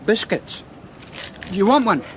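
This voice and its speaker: A hapless junior police constable grumbles his way through a British radio drama. His voice is high and lively in pitch, with a plaintive informal manner, amid clear outdoor noise. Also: unhurried. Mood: grumbling. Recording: loud outdoor and vehicle noise behind.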